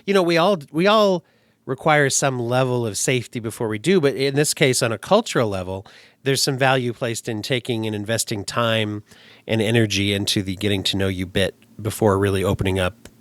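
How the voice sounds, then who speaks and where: A man's voice: on the low side, a little fast, dry recording. A man from the United States hosting an interview, thinking aloud in a thoughtful, digressive way. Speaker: podcast host. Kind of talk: casual interview. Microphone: remote call.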